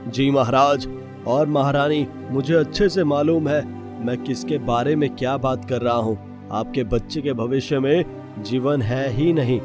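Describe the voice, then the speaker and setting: A man's voice: medium pitch, measured pace, close to an everyday voice. Man playing a grave, solemn royal sage. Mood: sorrowful, firm. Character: solemn sage prophet delivering fate to royals. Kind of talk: Hindi moral-story cartoon dubbing. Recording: voice over prominent background music.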